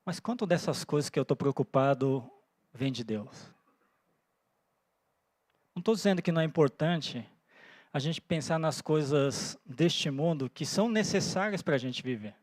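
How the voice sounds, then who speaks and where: A pastor in his forties, born in Japan and raised in Brazil, preaching in an earnest way that pauses often for "né?" and thinks aloud. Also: fairly high pitch, lively intonation, dry recording. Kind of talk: sermon. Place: church.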